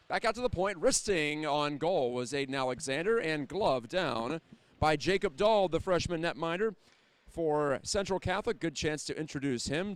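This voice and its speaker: Clear-voiced American man calling hockey. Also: fairly high pitch. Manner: detailed, descriptive call of the action. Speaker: play-by-play hockey announcer. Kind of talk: live sports commentary.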